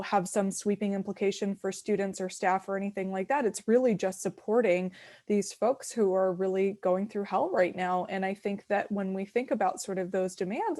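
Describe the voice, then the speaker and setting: A woman's voice: mid-range voice, mellow. A woman academic with a stuffy, congested nose from a cold, talking thoughtfully in a panel discussion. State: sick with a cold.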